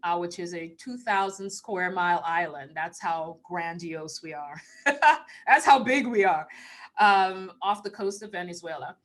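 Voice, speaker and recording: A woman's voice: lowish voice, measured pace, fairly bright tone. Informal woman in her fifties from Trinidad. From Trinidad and Tobago. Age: 50s. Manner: informal, playful, breaking into chuckles. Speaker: spiritual channeler hosting a live stream Q&A. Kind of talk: casual live-stream chat. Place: online live video call.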